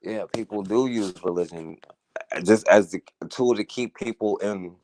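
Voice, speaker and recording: A man's voice: lowish voice, a little slow, a little mellow, poor audio. A man hosting a talk radio show, talking through a casual, earnest monologue, often saying "you know" and "right?".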